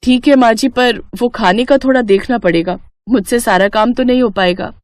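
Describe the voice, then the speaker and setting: Woman as a high-voiced polite young daughter-in-law. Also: moderate pace, dry recording, clean studio sound, lightly acted. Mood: agreeable. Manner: agreeable, deferential.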